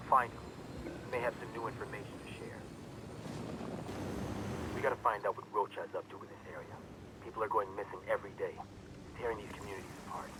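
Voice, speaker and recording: An actor playing a mission handler giving matter-of-fact briefings in a video game. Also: male voice, lowish voice, noisy background, close to an everyday voice. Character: male mission handler briefing over radio.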